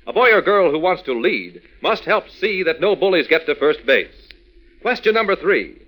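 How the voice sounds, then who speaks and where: A man's voice: fairly high pitch, bright, forceful tone, rough recording. A male announcer giving a scripted, emphatic, folksy address to children in 1940s radio style.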